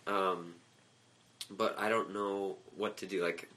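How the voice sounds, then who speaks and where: A man co-hosting a casual gaming podcast, his voice a little worn from a cold, talking in a relaxed way with laughs between points. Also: low voice, slow. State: slightly lost voice. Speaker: podcast co-host.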